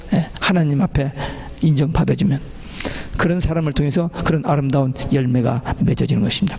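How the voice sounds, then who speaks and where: A man's voice: on the high side, vocal fry, a little mellow. A man preaching a church sermon as a pastor, exhorting listeners and putting questions to them.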